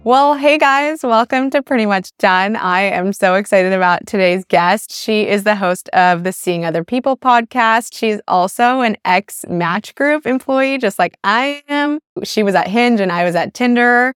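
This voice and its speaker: A woman hosting a podcast interview, upbeat and enthusiastic. Her voice is very smooth and resonant. The recording is dry and close. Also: mid-range voice, measured pace, hardly any pauses, no echo. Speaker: podcast host.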